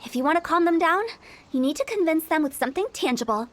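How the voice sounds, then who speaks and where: A woman's voice: extremely high pitch, lively intonation, fast. An actress playing a playful, shrewd young woman who runs a funeral parlor in a game, confident and teasing. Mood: explaining. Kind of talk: game voice acting.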